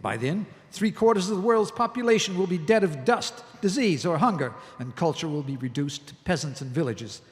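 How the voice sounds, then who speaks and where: A man's voice: fairly high pitch, animated, natural voice. Man acting a grave colonel in echoey hall. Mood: grave, urgent. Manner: deliberate, argumentative military speech. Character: grave, forceful, argumentative army colonel in wartime. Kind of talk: live audio drama acting. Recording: live stage recording in echoey hall.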